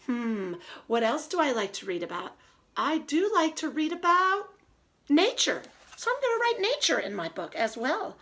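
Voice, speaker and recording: A woman teacher reading aloud and giving a lesson to young children in an expressive, child-directed way. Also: high-pitched, normal speed. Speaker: early elementary teacher.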